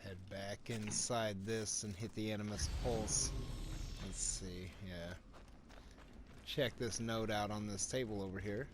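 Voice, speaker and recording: A man's voice: fairly low pitch, steady tone, a little slow. A man narrating a video game walkthrough in a casual commentary style. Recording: faint noise behind the voice.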